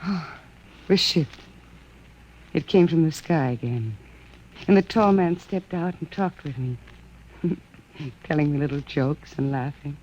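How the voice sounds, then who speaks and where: A woman's voice: low-pitched, measured pace, pauses often, darkish tone. A woman playing a wistful, hesitant, dreamy Martian wife in a science fiction radio drama. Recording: muffled old radio recording, noticeable hiss.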